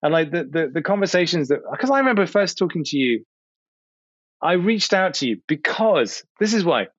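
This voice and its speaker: A man's voice: on the high side, sing-song, moderate pace, fairly bright tone, no room sound, lo-fi sound. A British man from the UK talking casually as a podcast guest, telling stories informally, repeating phrases for emphasis and voicing bits of dialogue. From United Kingdom. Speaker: podcast guest, video producer. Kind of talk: casual chat, animated anecdotes.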